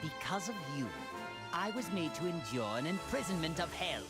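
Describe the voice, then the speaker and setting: A man's voice: on the high side, big pitch swings, normal speed. A man playing a haughty, cruel alien tyrant in an anime fighting game, with pompous, refined and disdainful speech. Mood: smug, scornful. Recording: music behind the voice.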